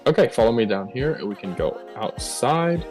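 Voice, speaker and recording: A man's voice: mid-range voice, sing-song, moderate pace. A man co-hosting, chatting casually as he guides viewers on a game tour. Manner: chatty, upbeat guide talk. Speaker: co-host giving a game tour. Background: background music.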